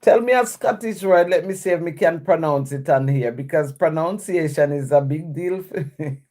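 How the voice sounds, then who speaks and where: A woman's voice: low-pitched, moderate pace, mellow. A woman hosting a casual live stream, reading viewer comments aloud and answering them in a chatty way with frequent laughs.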